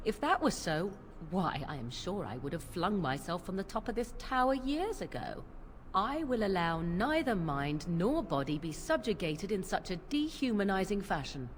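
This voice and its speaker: A woman's voice: mid-range voice, very animated, normal speed, a little hiss or hum, close to an everyday voice. A woman playing a haughty, scornful witch. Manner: contemptuous, haughty. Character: scornful young witch, proud and cold. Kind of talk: video game voice acting.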